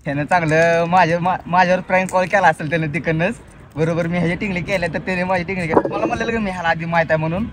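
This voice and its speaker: A young man speaks. His delivery is casual and confrontational, insistent and repetitive, with mock politeness. He is recorded outdoors with clearly audible street and vehicle noise behind him. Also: high-pitched, steady tone, very fast. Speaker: prank caller and video host. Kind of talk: prank phone call, casual confrontational chat.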